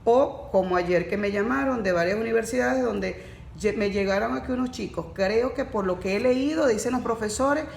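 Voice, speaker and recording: A woman talks through neurodiversity cases in a relaxed podcast chat, her voice gravelly with fry. She explains patiently and slips into quoted stories. The sound is roomy and echoey, with faint noise underneath. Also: fairly high pitch, normal speed. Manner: explanatory, tells anecdotes with quoted speech. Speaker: neurodiversity course facilitator. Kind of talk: podcast conversation.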